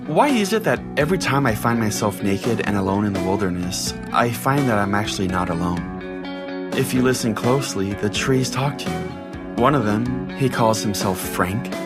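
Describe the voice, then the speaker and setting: A man hosting a podcast, reading a scripted sign-off in a wry, deadpan way. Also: fairly low pitch. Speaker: podcast host. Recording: music bed under the voice. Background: plucked guitar music.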